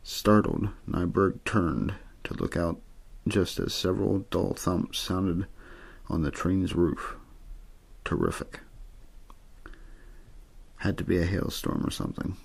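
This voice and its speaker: A man reading a story aloud in ASMR bedtime style, with an understated, untheatrical delivery and a gravelly, husky voice. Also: sits low. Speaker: amateur audiobook reader.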